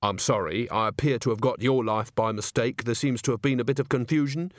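Tired, glum young woman, read by a male narrator: deadpan, polite, hesitant storybook dialogue with a dry, close sound. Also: mid-range voice, brisk, dry recording, lightly acted. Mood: hesitant apology.

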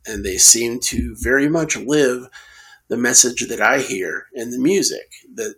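A man hosting a conversational interview, speaking in a measured, reflective way with halting phrasing. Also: mid-range voice, fairly bright tone, rough recording. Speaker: podcast host interviewing a guest.

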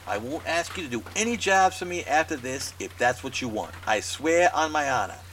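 A man's voice: on the high side, moderate pace, far from the mic, a little hiss or hum. An actor playing an exasperated, well-connected man hiring a detective in a 1930s noir audio drama. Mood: earnest, exasperated. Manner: animated, impatient, insistent.

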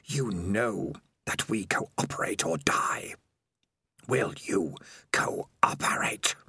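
A hidden, sinister rat of enormous size speaks in a slow, drawn-out hissing whisper, as a male narrator puts him on. His coaxing words seem to drift in from the dark, low and unhurried. The audiobook sound is close and dry. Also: husky, no echo, exaggerated voice. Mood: menacing.

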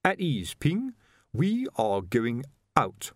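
A man voicing a stout middle-aged male sergeant who speaks slowly with quiet menace. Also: huge pitch swings, no room sound, studio quality, exaggerated voice. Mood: stern. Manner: slow, low, pointed.

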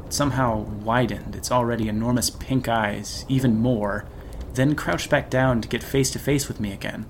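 A man's voice: mid-range voice, fairly even intonation, normal speed, a little hiss or hum. A man narrating a horror story in a dramatic radio drama style. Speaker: narrator and actor.

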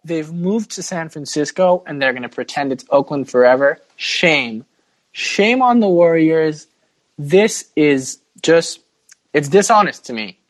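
A man's voice: fairly high pitch, expressive, measured pace, dry recording, poor audio. Opinionated male podcast host, dry close sound. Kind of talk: casual sports podcast chat.

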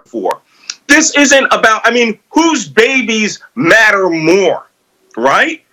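High-pitched, forceful middle-aged man arguing on a panel. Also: big pitch swings, slow pace, low-quality recording. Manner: emphatic, argumentative, assured. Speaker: guest commentator with military experience. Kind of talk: political panel discussion.

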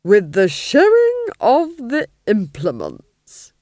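A woman voicing an imperious, sadistic duchess in a storybook, haughty, drawn-out and menacing. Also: medium pitch, wildly swooping pitch, a little mellow, no echo, studio quality, voice pushed up. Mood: gloating menace.

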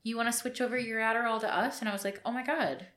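A woman co-hosting a podcast in casual chat, talkative and quick to laugh, with casual swearing. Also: fairly high pitch, fairly even intonation, fairly bright tone. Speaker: podcast co-host.

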